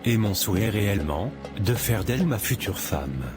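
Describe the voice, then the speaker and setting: A man's voice: on the low side, expressive, moderate pace, music in the background, lightly acted. A man playing an earnest, troubled young man. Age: young adult.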